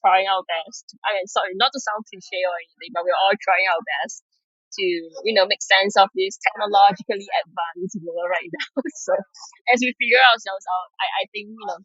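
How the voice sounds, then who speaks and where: Casting: woman from Singapore, husky tone, dry slightly muffled sound, chatty guest who laughs easily. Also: medium pitch, steady tone, normal speed, fairly bright tone, no echo. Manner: chatty, laughs often, many fillers. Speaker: podcast guest, product manager. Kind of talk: casual interview chat.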